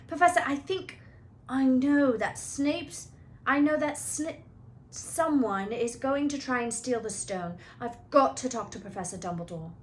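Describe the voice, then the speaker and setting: A woman's voice: high voice, lively intonation, voice pushed up. A woman voicing an earnest, inquisitive schoolboy with an insistent manner, reading a children's book aloud. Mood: frantic. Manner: insistent, pressing, halting when nervous.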